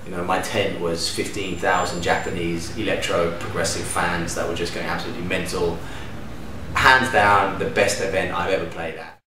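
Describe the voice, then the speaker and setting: A man in his thirties from Denmark, a DJ and producer, answering interview questions to camera in a relaxed, enthusiastic way with lively hand gestures. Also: low-pitched, moderate pace. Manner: relaxed, enthusiastic, gestures with hands while talking. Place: living room at home, indoors. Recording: echoey room, distant mic, faint hum.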